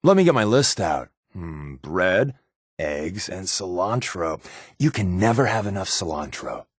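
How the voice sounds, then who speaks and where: A man's voice: low-pitched, big pitch swings, a little slow, breathy edge, no room sound, clean studio sound, full character voice. A man playing a wry, laid-back spy companion in a post-apocalyptic video game, given to sardonic asides. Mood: playful.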